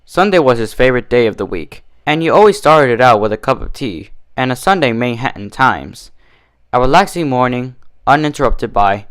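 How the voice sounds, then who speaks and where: Expressive male story reader, lively pitch. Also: dry recording. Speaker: fan fiction reader and reviewer. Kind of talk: storybook narration and casual review.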